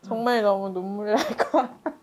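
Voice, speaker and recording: A woman's voice: mid-range voice, steady tone, brisk, a little mellow. A woman on an art production team chatting casually in a group discussion about shared work.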